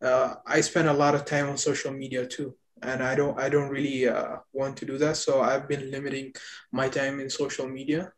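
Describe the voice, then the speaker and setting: A man, explaining things in a measured, hesitant way as a guest in a casual podcast conversation. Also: mid-range voice, little pitch movement. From Ethiopia.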